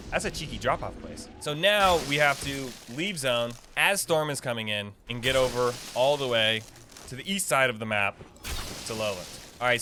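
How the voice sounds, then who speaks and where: A young man giving casual live gameplay commentary, thinking aloud in a slangy, playfully cocky way. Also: mid-range voice. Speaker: gaming video creator giving a quest guide.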